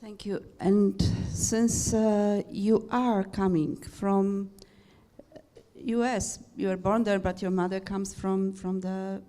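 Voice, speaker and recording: A woman with a Polish accent moderates a conference panel, putting questions to the guests. She speaks very slowly and haltingly, searching for her words. Her voice is warm and mellow. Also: mid-range voice, flat delivery.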